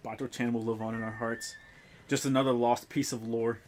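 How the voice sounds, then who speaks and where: A man in an average register talks through a game on a live stream. His talk is blunt and full of swearing, and it flares into loud, excitable bursts. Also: medium pitch, brisk, slight background noise. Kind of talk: casual game stream chat.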